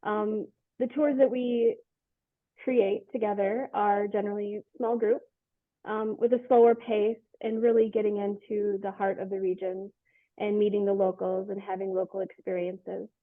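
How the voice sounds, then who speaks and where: A woman's voice: mid-range voice, little pitch movement, normal speed, dry recording. A woman hosting a conversational promotional interview, introducing topics and putting questions to a guest. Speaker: interviewer and tour company host. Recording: tinny phone-like call audio, dry.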